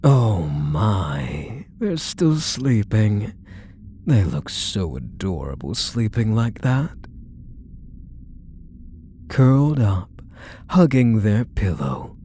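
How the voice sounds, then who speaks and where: Man acting a slow, coaxing possessive yandere lover. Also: lowish voice, sing-song, slight background noise. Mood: doting, affectionate. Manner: soft, coaxing, condescending pet-name endearments. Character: possessive, doting male yandere kidnapper, obsessively in love. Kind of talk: intimate ASMR roleplay audio drama.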